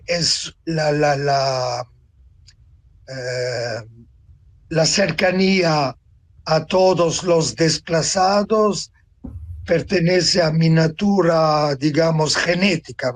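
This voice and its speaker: A man in his seventies from Tunisia, giving a reflective personal account as an interview guest, deliberate and pausing to find words. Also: fairly high pitch. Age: 70s. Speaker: interview guest, author and translator.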